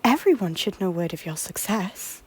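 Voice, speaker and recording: A woman playing a soft-spoken stranger at a harbour who often half-whispers her lines in an amateur audio drama. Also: mid-range voice, expressive, moderate pace, slightly rough. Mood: hushed.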